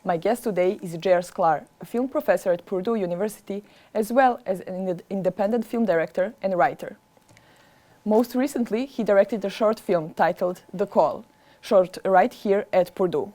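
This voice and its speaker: A woman hosting, reading a measured, scripted introduction to her guest. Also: mid-range voice, warm tone. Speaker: show host introducing a guest.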